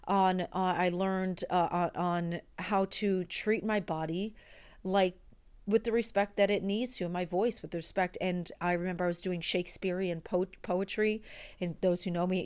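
A woman's voice: fairly low pitch, steady tone, normal speed, a little mellow, clean tone. Chatty woman rambling through a personal story. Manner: chatty, rambling, frequent restarts and asides. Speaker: performer and actor.

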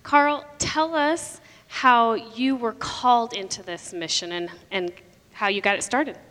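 A woman's voice: on the high side, unhurried, fairly bright tone. A woman interviewing guests on stage and asking encouraging questions. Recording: long reverb of a hall.

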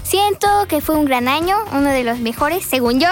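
A woman's voice: high voice, lively intonation, moderate pace, soft background music. A teenage girl, chatting on a school radio show and giggling often. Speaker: student radio co-host. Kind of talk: casual radio chat.

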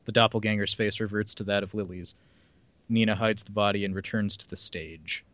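A man's voice: on the low side, fairly even intonation, fairly quick, a bit bright, no room sound. A man hosting a podcast, reading a plot summary aloud with casual asides. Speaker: podcast host reading film synopses.

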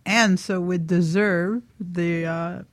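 A woman's voice: fairly low pitch, fairly even intonation, takes it slowly, dry recording. A woman hosting a radio talk in a casual motivational style, playful and often checking in with her listeners. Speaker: radio show host.

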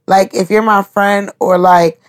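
A woman's voice: medium pitch, level tone, a little slow. A woman chatting casually on a podcast, frank and dismissive, with some swearing. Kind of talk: casual chat.

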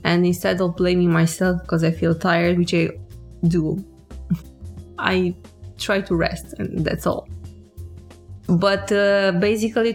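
A woman co-hosting a podcast in casual conversation, with a low, gravelly voice, talking reflectively and searching for words. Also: a little mellow. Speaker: podcast co-host.

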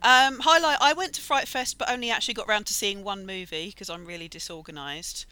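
A woman speaks as a podcast guest. Her voice is bright, sharp and crisp, recorded dry and close. She is wry and self-deprecating. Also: mid-range voice, fairly quick, no room sound, low-quality recording. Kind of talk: casual podcast chat.

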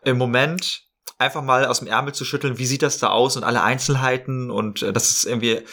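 A man explaining his podcast work in a casual interview, in an animated conversational way. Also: mid-range voice, fairly quick. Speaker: podcast maker, editor and actor.

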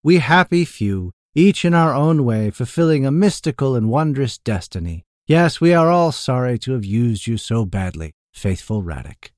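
A grandiose adventuring ranger from a fantasy tale, played by a man. He declaims in florid archaic phrases with lively, sweeping intonation, haughty and fervent, recorded very dry. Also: mid-range voice, normal speed, hardly any pauses, no room sound, natural voice. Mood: noble, earnest.

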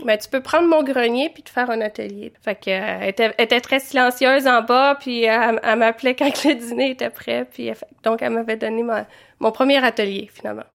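A woman's voice: fairly high pitch, steady tone, fast talker, vocal fry. Hesitant Québécoise artist in her thirties. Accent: Quebec French. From Quebec. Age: 30s. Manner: hesitant, many fillers. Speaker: interviewee, visual artist. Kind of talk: interview storytelling.

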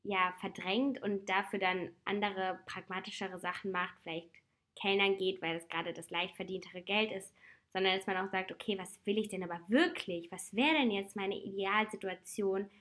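A woman in her twenties with a slight cold, hosting a casual personal advice talk in a reflective, chatty way with soft laughs. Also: mid-range voice, fairly even intonation, moderate pace. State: has a cold.

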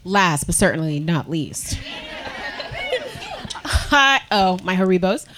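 A woman's voice: fairly low pitch, very animated, slow pace, background noise. Confident woman from the South Bronx. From South Bronx, New York. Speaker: bike mechanic, panelist.

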